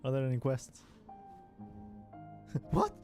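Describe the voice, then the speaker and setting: A chatty man from Spain streams a game and answers his chat in English, his second language. His voice sits at a middle pitch, loose and full of fillers. Faint game music plays under his talk. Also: expressive, very slow, a little mellow. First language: Spanish.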